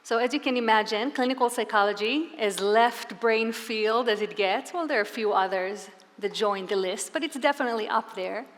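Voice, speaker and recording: A woman's voice: on the high side, normal speed, few pauses. Earnest woman presenting in a reverberant hall. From Israel. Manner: earnest, warm-spoken storyteller with rhetorical questions. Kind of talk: live conference presentation to an audience. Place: large hall before a live audience.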